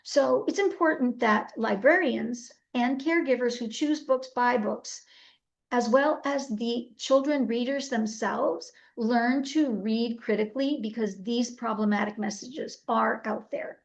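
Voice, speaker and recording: A woman's voice: fairly high pitch, measured pace, gravelly. A woman presenting her research to a book panel with earnest, emphatic advocacy. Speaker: book editor. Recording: dull lo-fi audio.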